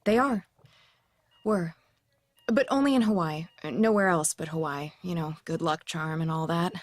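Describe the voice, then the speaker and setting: A woman's voice: on the low side, a little slow, no room sound. An actress playing a blunt, wry young woman recovering from an accident, in an audio drama.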